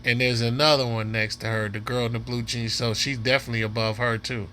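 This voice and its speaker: A man with a sharp voice reacting off the cuff to a clip on his video channel, taking his time and repeating himself, faint noise around him. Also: mid-range voice, flat delivery, fast talker. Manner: repeats himself, urging viewers to watch. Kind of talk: casual off-the-cuff commentary on a dating show.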